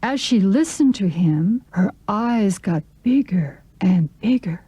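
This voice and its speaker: A woman's voice: mid-range voice, lively intonation, slow, warm tone, slightly rough, no echo. A woman narrating a children's folk story in a scripted storytelling style.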